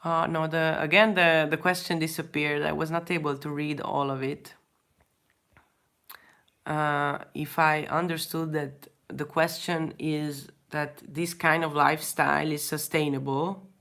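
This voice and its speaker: A young Hungarian-accented woman talking in a low, unhurried voice. She answers viewer questions in a relaxed, halting way, often restarting sentences and laughing softly. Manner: hesitant, many restarts, frequent soft laughs. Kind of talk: casual livestream Q&A chat.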